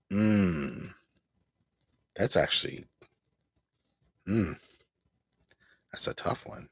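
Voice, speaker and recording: Gravelly low-voiced man chatting on a livestream. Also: no echo. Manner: rambling, repeats himself, chuckles often. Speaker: livestream host. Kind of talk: casual live broadcast chat. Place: at home.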